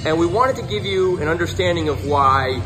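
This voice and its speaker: A man's voice: on the high side, lively intonation, a little fast, rough recording. A man promoting a gym class, asking questions in an eager, upbeat, salesy manner. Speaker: gym promoter interviewing the instructor. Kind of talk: enthusiastic promotional walkthrough. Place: large gym cycling studio, indoors. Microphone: handheld phone camera. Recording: gym room with music behind. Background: music playing.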